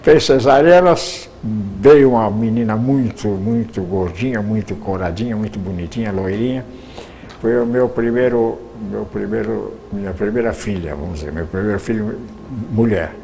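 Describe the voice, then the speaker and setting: A man's voice: lowish voice, moderate pace, vocal fry, warm tone, slight background noise, poor audio. An older man, a retired radio announcer telling his life story, rambling with frequent restarts.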